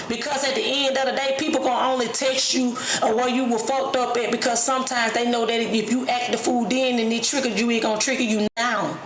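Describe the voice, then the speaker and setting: Blunt, high-voiced woman in her thirties. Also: roomy sound, background noise. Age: 30s. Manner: blunt, streetwise, preachy, profane. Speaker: vlogger. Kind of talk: unscripted personal rant.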